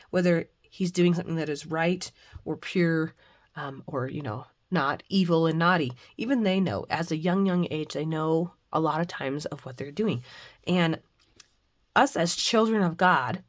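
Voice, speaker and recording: A middle-aged woman, hoarse from the flu, chatting casually and rambling a bit as she hosts a faith and crafting video at home. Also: lowish voice. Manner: chatty, rambling with frequent fillers. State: hoarse, recovering from flu with a cough. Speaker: craft channel host. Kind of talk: casual devotional and crafting chat.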